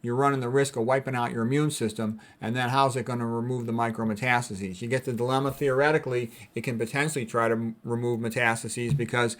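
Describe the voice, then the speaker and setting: A man's voice: mid-range voice, fairly even intonation, fast, few pauses. A man speaking as a doctor giving an informal health lecture, blunt and opinionated, in a colloquial way with conversational asides. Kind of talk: informal explanatory lecture with commentary.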